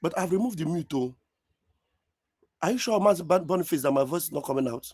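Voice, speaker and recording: A man speaks in a high, lively voice that swings up and down as he drives his points home on a live stream. His tone is slightly husky, heard close and dry through a computer mic on a call. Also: quick pace, no echo. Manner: emphatic, preachy, repeats phrases. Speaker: online show host. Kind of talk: political commentary on a live stream. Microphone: computer mic on a live video call.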